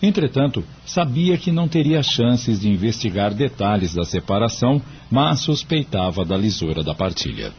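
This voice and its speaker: A man's voice: on the low side, sing-song, moderate pace, few pauses. A Brazilian man narrating a scripted audio drama story. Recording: muffled audio with background noise.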